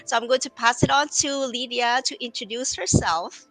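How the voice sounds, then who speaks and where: A woman's voice: on the high side, normal speed, bright, forceful tone, dry recording, faint music behind, poor audio. A middle-aged woman, a native Vietnamese speaker, hosting a casual online live meeting over a video call. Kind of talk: casual livestream introduction.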